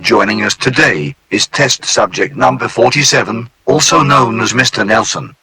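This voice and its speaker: A man voicing a polite, formal male AI supercomputer that guides trainees in a calm, courteous, clipped manner. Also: lowish voice, normal speed, a little hiss or hum, rough recording. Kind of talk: scripted narration for an analog horror tape.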